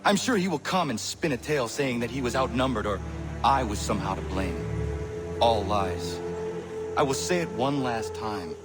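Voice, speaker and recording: An actor playing a grave, embittered young warrior with a formal, solemn way of speaking in a video game. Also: male voice, mid-range voice, lively intonation, normal speed, over music, close to an everyday voice. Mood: accusing. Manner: formal, solemn, declarative phrasing. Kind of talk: dramatic video game acting.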